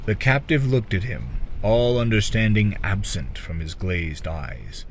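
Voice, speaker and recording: A man narrating a dark fantasy story in audiobook style, with measured, theatrical, drawn-out phrasing. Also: lowish voice, expressive, slight background noise. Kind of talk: dramatic scripted narration of a dark fantasy tale.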